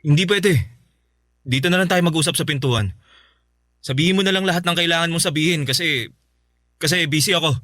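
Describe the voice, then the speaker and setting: A man's voice: a little high, fairly quick, pauses often, pushed and bright, dry recording, clean studio sound. A man playing an irritated, guarded young ex-boyfriend in a Filipino radio drama, curt and stern. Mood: curt, annoyed.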